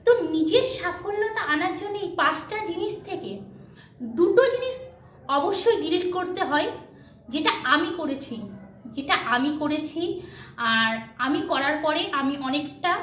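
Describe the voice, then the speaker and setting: Woman, high voice, motivational vlog chat, emphatic and repetitive, English words mixed in, roomy echo. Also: moderate pace, fairly bright tone. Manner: emphatic, repeats points for emphasis; mixes English words into speech. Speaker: video blogger. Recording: echoey room with faint background noise.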